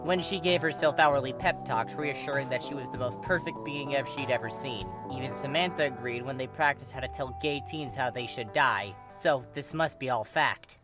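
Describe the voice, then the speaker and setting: A man's voice: medium pitch, normal speed, few pauses, over music. A man narrating fan fiction in a dramatic, informal and wry style. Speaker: story reader.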